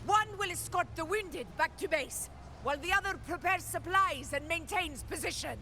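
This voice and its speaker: A woman voicing a brisk, commanding military officer in a video game. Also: very high-pitched, background noise.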